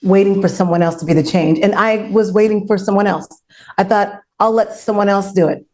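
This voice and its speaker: An outspoken woman with a medium-pitched voice talks as a host in a casual discussion. She is emphatic and conversational, repeating short phrases to make her point. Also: fairly quick, darkish tone. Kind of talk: casual talk show discussion. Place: online video forum.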